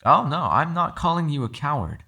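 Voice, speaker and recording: A man playing a captive mind-controlling being in an ASMR roleplay, calm, shrewd and persuasive, speaking in a measured, formal, quietly condescending way. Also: fairly high pitch, exaggerated voice. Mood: pointed, persuasive. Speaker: voice actor. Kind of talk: ASMR roleplay, dramatic monologue.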